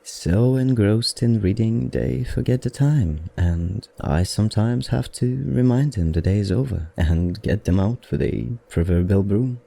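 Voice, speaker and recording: A man voicing a suave, softly predatory vampire librarian in an intimate ASMR roleplay, with a courtly, teasing manner and an affected accent. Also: slow pace, heavily acted. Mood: genial, welcoming. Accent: affected, non-native accent. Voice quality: low, dark, gravelly murmur.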